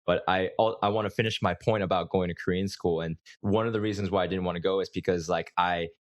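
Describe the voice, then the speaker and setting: Podcast host, male, very low voice, dry close sound, reflective and searching. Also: fairly even intonation, fast talker, no echo. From United States. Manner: reflective, thinking aloud with many restarts. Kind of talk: casual conversational interview.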